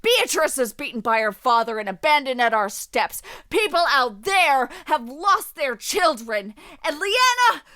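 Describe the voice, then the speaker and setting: A woman's voice: high-pitched, sing-song, a little slow, hardly any pauses, sharp tone, heavily acted. An actress playing an angry, bitter battle sister who accuses and scorns her comrades in a fan audio drama. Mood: furious.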